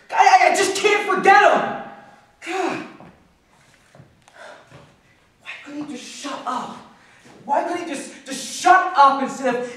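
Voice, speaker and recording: An actor playing an agitated, bitter working-class bookkeeper in a rambling, slangy, halting theatrical stage monologue. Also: male voice, very high voice, a little mellow. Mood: frantic, angry. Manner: rambling, halting, slangy, swinging from muttering to outbursts. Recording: echoey distant stage recording, faint noise.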